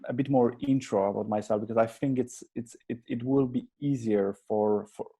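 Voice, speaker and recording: A Polish-accented man in his thirties answers interview questions with a mellow, dark tone. His delivery stays flat and even, and he keeps restarting his sentences in an earnest, halting way. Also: mid-range voice, slightly rough. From Poland. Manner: hesitant, many restarts and fillers, earnest. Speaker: interview guest, agency founder. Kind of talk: conversational interview.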